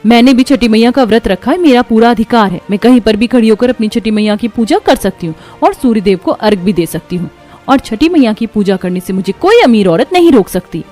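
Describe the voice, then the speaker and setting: A woman's voice: fairly high pitch, lively intonation, a little fast, a little mellow, faint music behind. A woman playing a proud, poor young daughter-in-law in a cartoon story, indignant and firm as she stands her ground. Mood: indignant, defiant. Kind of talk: Hindi cartoon moral story dialogue.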